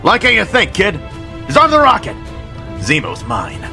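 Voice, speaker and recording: An actor playing a brave, commanding soldier hero in an audio drama, defiant and resolute. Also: male voice, sits high, huge pitch swings, moderate pace, gravelly, sharp tone, faint music behind, heavily acted.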